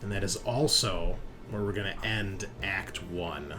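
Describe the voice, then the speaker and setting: A man hosting and narrating a tabletop horror roleplaying session as game master, in a halting, conversational way. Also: deep voice. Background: background music.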